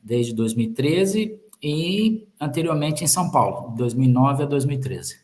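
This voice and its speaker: A man's voice: medium pitch, expressive, unhurried, darkish tone. Joking Brazilian male guest, full of sayings. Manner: joking, fond of metaphors and sayings. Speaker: guest, sales manager. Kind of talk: conversational interview.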